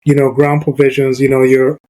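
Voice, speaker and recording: A man's voice: medium pitch, monotone, fairly quick, darkish tone, poor audio. A man from Barbados hosting a podcast, talking casually and loosely with plenty of "you know" and "like". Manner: conversational, many fillers like "you know" and "like". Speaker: podcast host.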